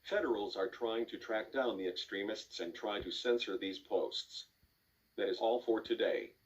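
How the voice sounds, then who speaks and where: Low-voiced male newsreader, dull distant recording. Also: moderate pace, slight background noise. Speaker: news announcer. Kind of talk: scripted news bulletin.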